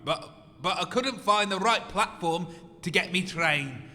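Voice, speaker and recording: A man's voice: high voice, animated, measured pace, sharp tone, exaggerated voice. A man voicing the dim, plaintive ghost of a dead girl, telling a mock-tragic tale in an uneducated rustic way. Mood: plaintive, woeful. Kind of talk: comedy audio drama acting. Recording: long hall reverb.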